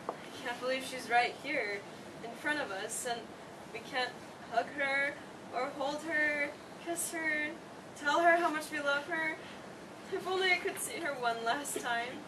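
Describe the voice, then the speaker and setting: Woman acting high-voiced, emotional young daughter. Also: measured pace. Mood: grieving. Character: emotional young college-student daughter pleading with her mother. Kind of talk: staged play reading. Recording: distant, noisy live stage recording.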